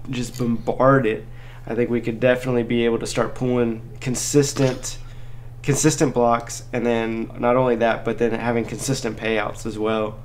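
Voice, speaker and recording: A man's voice: mid-range voice, darkish tone, a little hiss or hum. A man hosting a casual live chat, talking in an informal, encouraging and slangy way as he helps listeners out. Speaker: live Q&A host, crypto mining helper.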